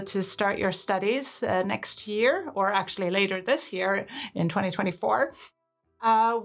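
Woman hosting a university podcast. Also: medium pitch. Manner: friendly, curious questions with fillers. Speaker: podcast host, recruitment manager.

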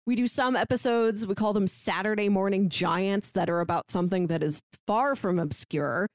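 Casting: female podcast host, chatty and informal, mid-pitched voice, dry recording. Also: normal speed, dry recording. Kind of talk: casual podcast chat.

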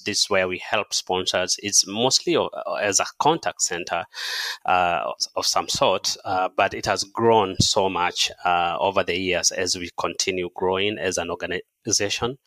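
A man with a Kenyan accent speaking as an interview guest, telling his story in measured, hesitant phrases. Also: deep voice, bright, forceful tone, no echo. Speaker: podcast guest, charity staff member. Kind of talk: conversational interview, personal storytelling.

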